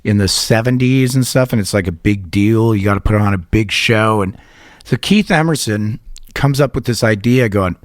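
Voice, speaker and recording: A man's voice: lowish voice, moderate pace, no room sound. A middle-aged man from Canada tells a rambling, profane story as a podcast co-host. He laughs his way through it, with plenty of restarts and side jokes. His voice is recorded dry and close. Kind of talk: casual chat and storytelling.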